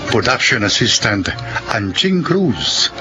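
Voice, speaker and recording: A man's voice: medium pitch, very animated. A man announcing the closing credits of a radio drama. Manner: slow and measured. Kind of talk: reading the end credits. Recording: dull lo-fi radio recording with music.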